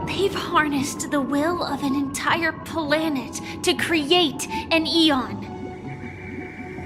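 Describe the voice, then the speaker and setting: Resolute young game heroine, high soft voice, measured slow delivery, wistful, music behind. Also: female voice. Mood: grave, earnest. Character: solemn, gentle young woman, resolute and wistful. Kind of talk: dramatic video game voice acting.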